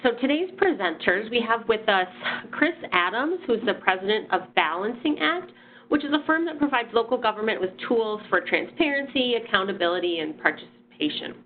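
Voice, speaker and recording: A woman's voice: on the high side, expressive, brisk, a little hiss or hum. A woman hosting and presenting a webinar, a bit nasal from a sinus infection, talking in a friendly way with many ums and restarts. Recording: phone-like audio.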